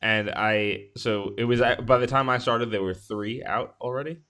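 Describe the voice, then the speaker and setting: A man co-hosting a podcast, talking casually in a rambling, halting way as he thinks aloud. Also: medium pitch, fairly even intonation, pushed and bright. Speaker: podcast co-host. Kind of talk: casual chat.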